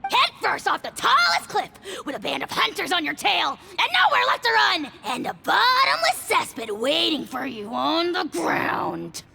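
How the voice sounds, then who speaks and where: A tiny, self-important dragon lord spits grandiose insults in a very high, gravelly, piercing voice. His pitch leaps wildly from word to word, with faint game ambience underneath. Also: huge pitch swings, measured pace, few pauses, bright, forceful tone, a little hiss or hum, full character voice. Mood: threatening. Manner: grandiose insults, blustering tirades. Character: tiny pompous male dragon lord, hot-tempered.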